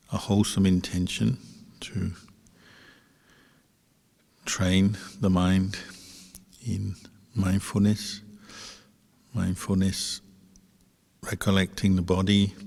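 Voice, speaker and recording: Very slow, low husky man guiding meditation. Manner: calm, unhurried, long pauses between short phrases. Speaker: Buddhist monk meditation teacher. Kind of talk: guided meditation instruction. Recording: reverberant hall, long echo.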